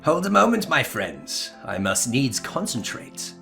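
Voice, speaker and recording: A man voicing a courteous, florid and gently melancholy zombie emissary from a video game, with formal old-fashioned diction. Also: mid-range voice, very animated, measured pace, vocal fry, soft background music, heavily acted. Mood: solemn. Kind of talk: melodramatic video game dialogue reading.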